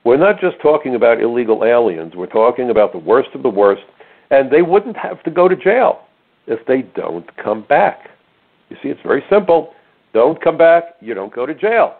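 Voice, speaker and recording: A man's voice: mid-range voice, big pitch swings, normal speed. An older man hosting a radio talk show, telling stories emphatically with sarcastic asides. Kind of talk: conservative talk radio monologue. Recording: narrow phone-like radio audio.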